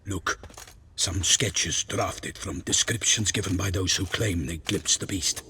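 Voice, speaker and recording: An actor playing a grave, skeptical knight who speaks ominously in a fantasy game. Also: male voice, deep voice, a little slow, vocal fry, sharp tone, slight background noise, full character voice. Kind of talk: cinematic fantasy game dialogue.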